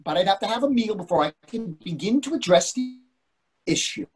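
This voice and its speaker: A man's voice: high-pitched, expressive, brisk, breathy edge. A man in his sixties acting in a comic readers theatre play as a dry, commanding management consultant, over a video call. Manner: dry, authoritative. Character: self-assured older management consultant, dryly commanding husband. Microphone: computer microphone on video call.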